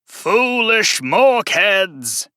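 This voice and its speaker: A man voicing a theatrical, sardonic eldritch demon in a drawn-out, booming delivery. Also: very animated, sharp tone, clear, resonant tone, dry recording, studio quality, exaggerated voice. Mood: roaring.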